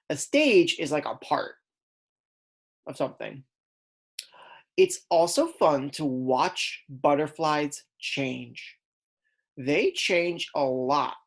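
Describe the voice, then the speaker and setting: A woman reading aloud as a kindergarten teacher, in a deliberate, instructional way that stresses key words. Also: low-pitched. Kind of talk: read-aloud lesson for young children.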